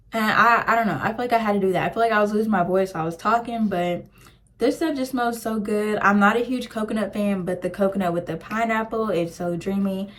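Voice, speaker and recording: Young woman, casual beauty review, gushing, filler-heavy chat. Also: medium pitch. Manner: chatty, gushing, lots of "like" fillers. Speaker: beauty vlogger.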